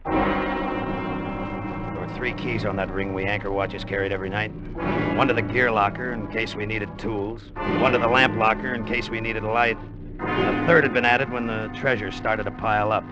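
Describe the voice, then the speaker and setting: A male actor as a brooding salvage crewman with a low voice, plotting and confiding like a hard-boiled radio narrator. The dull 1950 broadcast recording carries music behind him. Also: steady tone, moderate pace, natural voice. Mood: brooding, calculating. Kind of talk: 1950s radio drama acting and narration.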